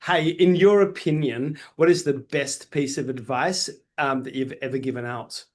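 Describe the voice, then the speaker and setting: A man's voice: medium pitch, normal speed, darkish tone. An Australian man hosting a casual interview, chatting enthusiastically and playfully with mild exclamations. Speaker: interviewer, host. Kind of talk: casual promotional interview chat.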